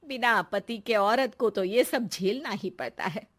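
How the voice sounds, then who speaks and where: A woman voicing a stern, disapproving middle-aged mother-in-law in an animated moral story. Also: mid-range voice, unhurried, lightly acted. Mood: dismissive.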